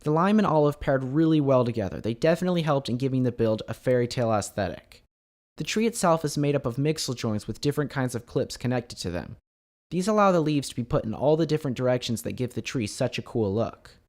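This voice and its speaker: A man with a medium-pitched voice narrates a scripted build showcase. He presents as the host of a hobby channel and sounds enthusiastic about the craft. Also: animated, quick pace, a little mellow.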